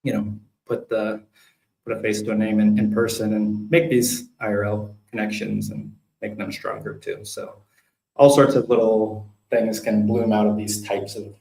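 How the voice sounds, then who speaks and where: Low, creaky-voiced man in a roomy space. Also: little pitch movement, normal speed, mellow. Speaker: community town hall co-presenter. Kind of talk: casual presentation recap. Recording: roomy echo on voice.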